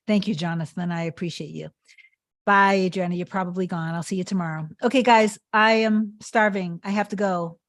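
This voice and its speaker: A woman's voice: lowish voice, fairly even intonation, a little fast. Earnest woman coaching on a live stream. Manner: repetitive, emphatic, earnest. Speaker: manifestation coach. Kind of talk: live-stream talk answering chat comments.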